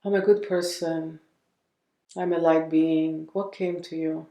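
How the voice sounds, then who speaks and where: A woman's voice: low-pitched, steady tone. Woman guiding meditation in an echoey room. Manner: gentle, unhurried, with long pauses between phrases. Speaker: meditation guide. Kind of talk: guided meditation.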